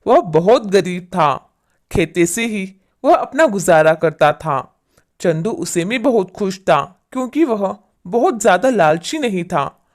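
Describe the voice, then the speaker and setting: A woman's voice: mid-range voice, expressive, slow. A woman narrating a scripted moral story as its storyteller.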